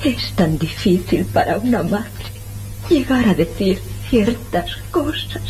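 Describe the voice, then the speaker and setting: An actress playing an anxious, pleading woman, halting and solemn, in an old-fashioned hospital radio melodrama. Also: medium pitch, very animated, darkish tone, slight background noise. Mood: weak, troubled pleading. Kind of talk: old-fashioned Spanish radio serial acting.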